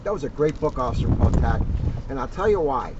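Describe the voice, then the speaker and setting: A man's voice: animated, fairly quick. A male police officer giving an informal, encouraging address to children and families, earnest and repeating phrases for emphasis. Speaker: police officer co-hosting a community message. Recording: distant, dull mic with clear rumbling noise.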